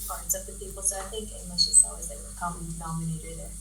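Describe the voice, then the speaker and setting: A Swiss woman wrestler, a native Swiss German speaker, chatting in an interview with an outgoing, measured manner full of small pauses. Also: fairly low pitch. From Switzerland. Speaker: professional wrestler, guest. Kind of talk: casual interview chat. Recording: distant mic, echoey room with steady noise.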